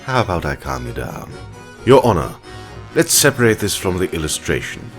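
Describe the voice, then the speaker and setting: A man's voice: low-pitched, big pitch swings, normal speed, faint music behind. A man playing a haughty, sardonic animal prosecutor in a courtroom audio drama, theatrical and condescending. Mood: smug, condescending.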